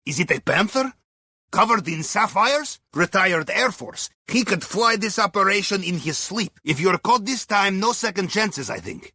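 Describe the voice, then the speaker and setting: A man's voice: high voice, sharp tone, breathy edge, dry recording, heavily acted. An actor playing a shrewd, genial Russian submarine crewman in a video game, guiding missions in clipped, dryly conspiratorial phrases with a Russian accent. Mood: dryly playful. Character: shrewd, genial male Russian submarine captain's aide and heist planner. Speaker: mission guide over comms.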